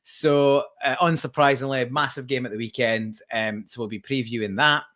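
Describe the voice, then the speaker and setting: A man's voice: medium pitch, fairly even intonation, moderate pace, fairly bright tone. Chatty man in his forties hosting. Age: 40s. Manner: chatty, jokey, lots of fillers. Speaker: podcast host. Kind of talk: casual chat.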